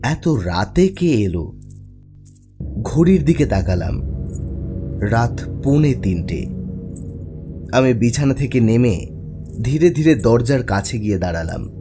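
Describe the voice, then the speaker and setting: A man playing the haunted protagonist of an animated horror story, narrating it in the first person with measured, suspenseful pauses. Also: mid-range voice. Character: haunted male field worker narrating his ghostly encounter. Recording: music under the voice.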